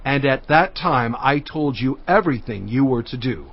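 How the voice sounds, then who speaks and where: A man's voice: medium pitch, animated, normal speed. An actor playing Moses as a fatherly, earnest leader addressing his people with oratorical weight in dramatized scripture narration. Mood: earnest, recounting. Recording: dull sound with music underneath. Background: music.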